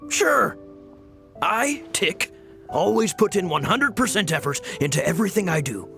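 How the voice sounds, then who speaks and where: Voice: mid-range voice, sing-song, normal speed, creaky, a bit bright, soft background music. A performer playing a keen, cocky odd-job worker in a video game, with an eager, salesmanlike manner.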